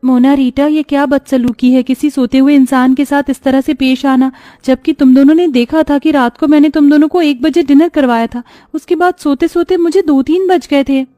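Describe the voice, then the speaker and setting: A woman performs a gentle, downtrodden young stepsister in an animated moral story. The voice is high, submissive and pleading, dry, with music underneath. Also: normal speed, few pauses, no echo, close to an everyday voice. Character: meek, kind, mistreated young stepsister serving her family.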